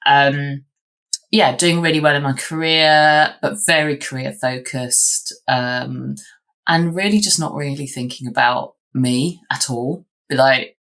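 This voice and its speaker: Woman in her 50s, low deep voice, lots of ums n restarts, chatty guest. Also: steady tone, a little slow, a bit bright. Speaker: guest, lawyer. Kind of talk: candid interview answers.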